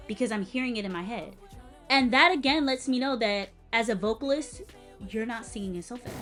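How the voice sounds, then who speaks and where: A young woman music educator with a light-sounding voice, critiquing a performance in a casual, emphatic, teacherly way. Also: a little high. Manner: animated and emphatic, stressing words and interjecting; direct, teacherly advice to the viewer.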